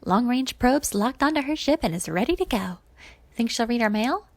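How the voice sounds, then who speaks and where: Woman voicing a bright-spirited, creaky, higher-pitched tech ally. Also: animated, moderate pace, dry recording. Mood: conspiratorial. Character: cheerful, conspiratorial technician ally on comms.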